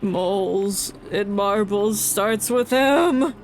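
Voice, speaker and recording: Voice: mid-range voice, very slow, a crowd in the background. A video game streamer giving casual live commentary, rambling off into goofy asides. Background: game music.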